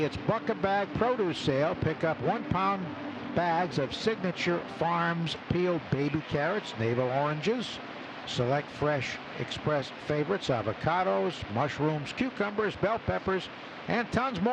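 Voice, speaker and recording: A man doing live play-by-play baseball commentary as a radio announcer. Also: a little high. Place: open broadcast booth at outdoor ballpark. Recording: noisy outdoor ballpark radio broadcast. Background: loud stadium ambience.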